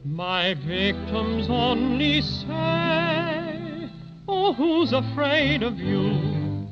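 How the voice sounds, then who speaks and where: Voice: high-pitched, sing-song, very slow, full character voice. An actor playing a tiny, boastful, harmless teddy bear in 1930s radio, delivering mock-ferocious sing-song rhymes. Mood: mock-fierce, boastful. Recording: muffled 1930s recording, loud music behind.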